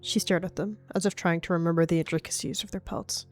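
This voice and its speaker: A woman's voice: lowish voice, steady tone, fast, faint music behind. A woman telling a dramatic story as a third-person narrator.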